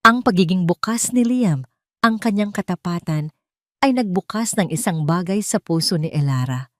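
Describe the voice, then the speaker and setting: A woman's voice: fairly low pitch, animated, normal speed, fairly bright tone, no room sound, clean studio sound. A woman with a Filipino accent narrating a romance audio story in a scripted, dramatic storytelling style.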